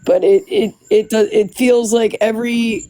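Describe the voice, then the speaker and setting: A woman with a congested, raspy voice, chatting casually on a live stream. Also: on the high side, little pitch movement, moderate pace, mellow, soft background music. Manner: chatty, full of "like" fillers.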